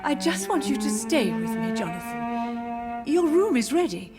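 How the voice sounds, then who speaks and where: An older woman playing a grieving, confused elderly mother in a video game, wistful, drifting and gently reproachful. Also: a little high, expressive, unhurried, loud music behind the voice. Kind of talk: video game dialogue acting.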